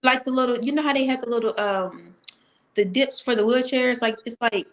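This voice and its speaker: An American woman hosting an interview over a video call, talking in a friendly, halting way with fillers. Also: on the high side, rough recording. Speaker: podcast host. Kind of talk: casual interview chat.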